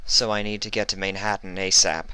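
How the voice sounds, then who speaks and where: A man voicing a wounded, timid female pony mayor in a story reading, weary and halting in manner. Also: deep voice, little pitch movement, pushed and bright, smooth, no echo. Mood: weary, relieved. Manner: mumbling, halting, stammering.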